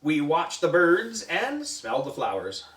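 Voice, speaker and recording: A man reading a children's story aloud in an animated narrating style. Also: a little high, measured pace. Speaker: book club reader. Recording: echoey room, distant mic.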